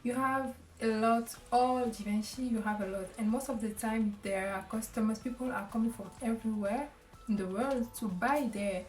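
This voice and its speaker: A young woman with a Cameroonian accent, giving casual advice to camera in a halting way, with lots of ums and restarts; she grew up speaking French. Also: fairly high pitch, a little mellow. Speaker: vlogger. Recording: echoey room, voice a bit far from the mic.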